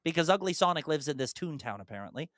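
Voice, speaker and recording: A podcast host chatting casually in an animated, rambling way, slipping into imagined dialogue. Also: medium pitch, fast talker, no room sound, studio quality. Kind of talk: casual chat, enthusiastic monologue about films.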